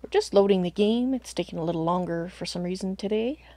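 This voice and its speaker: A woman streaming a video game live, slightly hoarse from a cold, chatting with viewers and thinking aloud as she plays. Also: medium pitch, fast talker, warm tone, no room sound. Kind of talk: casual live gameplay commentary.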